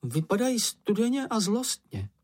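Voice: medium pitch, very animated, husky, pitched above the performer's own voice. A narrator voicing a small, timid male animal who frets and complains in a frightened, whiny manner. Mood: gloomy. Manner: fretful, anxious, complaining.

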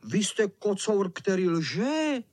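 A storyteller voicing scared, plucky little boys who plead and shout their protests. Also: medium pitch, big pitch swings, no echo, pitched above the performer's own voice. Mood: indignant.

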